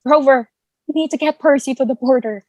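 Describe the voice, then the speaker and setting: A woman's voice: high-pitched, fairly quick, dry recording. A woman playing a brave, protective, worried mother in a theatrical table read, urgent and emphatic. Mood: urgent, defiant.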